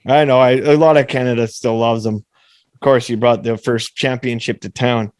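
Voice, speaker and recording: A man hosting a podcast interview, with a raspy voice, chatting casually and swearing now and then. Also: medium pitch, steady tone, moderate pace, darkish tone, no room sound. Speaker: podcast host.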